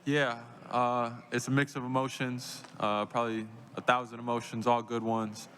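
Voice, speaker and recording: A young man answering reporters at a press conference, calm, with many "uh" and "you know" fillers. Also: medium pitch, normal speed, slight background noise. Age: young adult. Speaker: draft pick at press conference.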